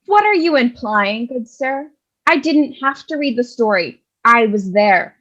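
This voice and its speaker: A woman's voice: a little high, expressive, a little slow, fairly bright tone, dull audio. A woman playing a brash, sarcastic, scolding nun narrator in a comic stage reading. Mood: scornful. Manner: scolding, sassy. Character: brash, sarcastic nun who takes over storytelling.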